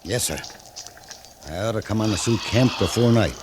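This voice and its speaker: A man's voice: fairly low pitch, moderate pace, natural voice. A man playing an earnest, rustic army scout in an old-time radio western. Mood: grave, dutiful. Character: earnest, plain-spoken male army scout. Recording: noisy vintage radio recording with ambience.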